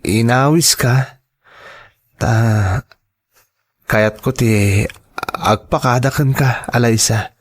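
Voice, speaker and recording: A man's voice: medium pitch, slow, pauses often. A man acting in a radio drama as a shy, earnest young man in love, with an Ilocano accent and a hesitant delivery. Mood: apologetic.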